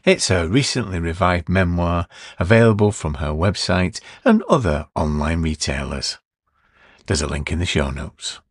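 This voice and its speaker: A man hosting a podcast, introducing the episode and his guest in a relaxed, chatty way. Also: sits low, normal speed, breathy edge, no room sound, clean studio sound. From Britain. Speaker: podcast host. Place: room.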